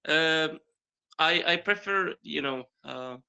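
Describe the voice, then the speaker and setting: A man's voice: a little high, slow, creaky, bright, forceful tone, clean tone. A young man from Morocco, an Arabic speaker practicing English in casual conversation, hesitant, with many fillers and restarts. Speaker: primary school teacher practicing English.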